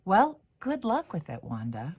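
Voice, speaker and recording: A kindly neighbor lady in a storybook, acted out by a woman reader. She speaks gently, with polite doubt and a skeptical edge. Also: fairly low pitch, wildly swooping pitch, measured pace, breathy edge, exaggerated voice. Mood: curious, doubtful.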